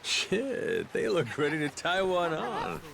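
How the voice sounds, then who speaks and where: A man's voice: on the high side, wildly swooping pitch, slow pace, gravelly, fairly bright tone, a little hiss or hum, exaggerated voice. A man voicing a wry, informal male boat captain and adventurer in a video game dub. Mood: curious, casual.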